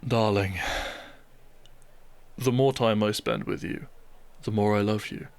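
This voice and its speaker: Guy acting as a devoted doctor groom, deep gravelly voice, soft n loving, romance audio roleplay. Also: steady tone, slow, dry recording. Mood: loving, earnest. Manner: tender, earnest, softly affectionate. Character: devoted, tender male doctor and newlywed groom.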